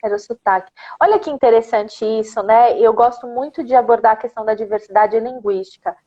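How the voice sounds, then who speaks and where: Casting: Brazilian woman, São Paulo accent, high-pitched, teacherly interview host. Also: a little fast, lo-fi sound. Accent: São Paulo Brazilian Portuguese. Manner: animated, laughing, teacherly asides. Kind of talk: casual interview chat.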